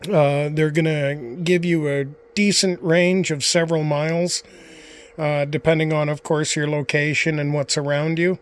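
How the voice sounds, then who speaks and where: A man's voice: on the high side, little pitch movement, moderate pace, clean tone. A man casually showing off his handheld radios, rambling with lots of "uh" and "you know". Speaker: ham radio hobbyist vlogger. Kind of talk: casual show-and-tell talk.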